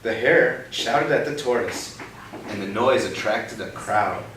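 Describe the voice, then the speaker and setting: A young man reading a picture book aloud to a child, pausing often to ask gentle questions about the words. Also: fairly low pitch. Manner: expressive storybook reading broken by gentle teaching questions. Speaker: read-aloud host. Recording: roomy, distant mic with background noise.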